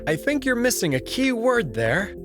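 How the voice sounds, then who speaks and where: Man acting as bashful young man, casual, wry, hesitant, animated intonation, visual novel dialogue. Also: extremely high pitch, normal speed, over music, voice pushed up. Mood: flustered, teasing.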